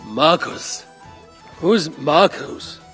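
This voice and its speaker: A Viking warrior woman, low for a woman and slow in her delivery. She is steady and teasing, a game heroine speaking over faint music. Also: full character voice. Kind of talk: video game cutscene dialogue.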